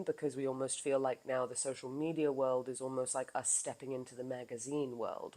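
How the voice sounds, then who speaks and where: A woman hosting her own podcast in casual chat, with a low voice, animated and laughing often, now and then swearing. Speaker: podcast host.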